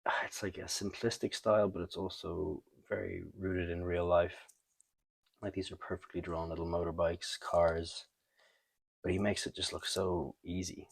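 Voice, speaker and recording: A man hosting a comic book review in a casual, unscripted way, thinking aloud with halting, trailing pauses. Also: deep voice, husky, dry recording. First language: not French. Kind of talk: casual unscripted show-and-tell commentary.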